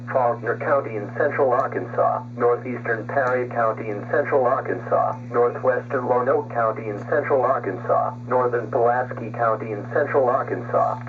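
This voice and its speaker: A synthetic male announcer reads scripted emergency weather warnings in a formal, impersonal way over weather radio. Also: medium pitch. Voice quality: synthetic text-to-speech voice. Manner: formal, impersonal, list-like reading. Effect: weather radio broadcast. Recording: dull noisy weather radio recording, humming.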